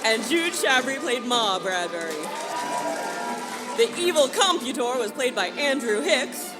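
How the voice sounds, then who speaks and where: Woman narrator, high pitch, emphatic 1940s serial style, live stage, loud music, noisy crowd. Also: animated, measured pace. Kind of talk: 1940s radio serial announcing.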